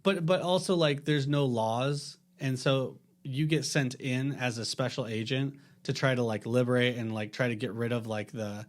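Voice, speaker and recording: A man's voice: mid-range voice, fairly even intonation, normal speed, few pauses. A man talking casually as a podcast panelist, explaining things in an eager, repetitive way. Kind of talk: casual group discussion.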